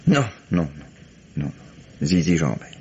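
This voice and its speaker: An actor playing a dry, patient police commissioner in a French radio mystery, questioning in a measured, probing way. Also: male voice, low-pitched, sing-song, darkish tone, breathy edge, heavily acted. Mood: insistent. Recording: muffled, noisy old radio recording.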